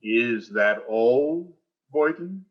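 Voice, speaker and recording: An actor playing a pompous, blustery, grasping older school principal in a vintage radio sitcom style. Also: male voice, mid-range voice, expressive, very slow, lo-fi sound. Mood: sly, expectant.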